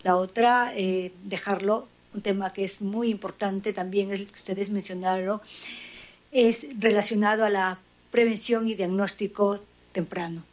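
A woman's voice: mid-range voice, fairly even intonation, measured pace. Woman chairing, asking formal questions. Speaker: session chair.

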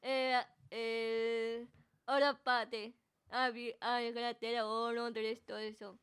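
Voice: medium pitch, flat delivery, slow pace, fairly bright tone, smooth. A guest in a casual interview, answering briefly and simply with some hesitation. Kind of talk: casual chat with short answers.

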